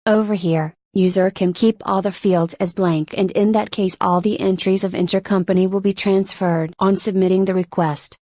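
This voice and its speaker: A woman's voice: mid-range voice, steady tone, moderate pace, few pauses, mellow, no echo. Woman narrating a tutorial, very dry recording. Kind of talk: scripted instructional narration.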